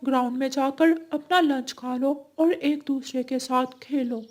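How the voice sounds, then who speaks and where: A woman's voice: high-pitched, steady tone, unhurried, a little mellow. A woman voicing a stern, sarcastic male schoolteacher bird in a cartoon, emphatic and scolding. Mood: instructing.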